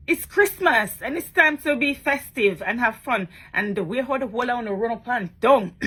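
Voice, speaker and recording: A woman's voice: fairly high pitch, bright, forceful tone, a little hiss or hum, rough recording. A woman talking casually to her followers in a vlog, chatty and emphatic, often tagging on questions. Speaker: social media blogger.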